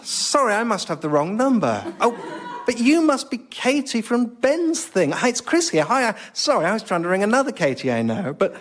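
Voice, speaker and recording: A man's voice: high-pitched, big pitch swings, normal speed, a little hiss or hum. An actor playing a flustered, self-berating British man who stammers hesitantly through awkward voicemails in a radio comedy sketch. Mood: awkward, rambling. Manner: hesitant, stammering, bursts into exasperated shouting at himself.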